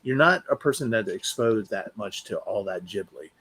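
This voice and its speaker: A man co-hosting a podcast in casual chat, often halting and repeating words as he goes. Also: lowish voice, expressive, a bit bright. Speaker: podcast co-host. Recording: clearly noisy background.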